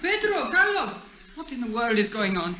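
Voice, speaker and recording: An actress playing an elegant, trusting, romantic society hostess in an old-time radio drama. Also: female voice, a little high, sing-song, normal speed, pushed and bright. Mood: surprised, demanding. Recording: faintly noisy vintage radio recording.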